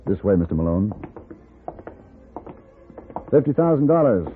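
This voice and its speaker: An actor playing a composed, courteous gun-for-hire in a 1950s radio western, on a tinny old broadcast. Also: male voice, fairly low pitch, big pitch swings, measured pace, soft background music.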